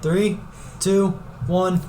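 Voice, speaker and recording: A woman plays a no-nonsense male gym teacher in a radio drama. The voice is dropped lower and gives orders in brisk announcements. Clear noise sits behind it. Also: level tone, warm tone. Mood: commanding. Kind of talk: amateur radio drama acting. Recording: amateur recording with clear background noise.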